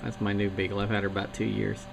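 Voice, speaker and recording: A plain-spoken man from Missouri chats in a folksy, unhurried way. His voice is gravelly and sits on the low side. Loud static nearly swamps the radio link. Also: monotone. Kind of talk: casual chat over radio link.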